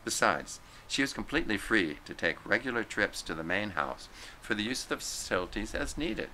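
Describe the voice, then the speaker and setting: A man reading a literary story aloud in a theatrical, deliberately phrased way, now and then stumbling over the text. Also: lowish voice, very animated, sharp tone, slight background noise, rough recording. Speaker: story reader. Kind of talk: scripted narration, literary story read aloud.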